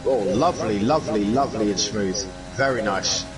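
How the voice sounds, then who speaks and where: Croaky-voiced man calling a sim race. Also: on the low side, brisk, music in the background, poor audio. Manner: chatty, excitable, jokey. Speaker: sim racing league commentator. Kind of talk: live esports race commentary.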